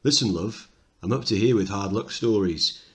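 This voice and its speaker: A man's voice: lowish voice, normal speed, a bit bright, natural voice. A man playing an irate, hard-nosed milkman, rude and impatient, in a play reading. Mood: irate, dismissive.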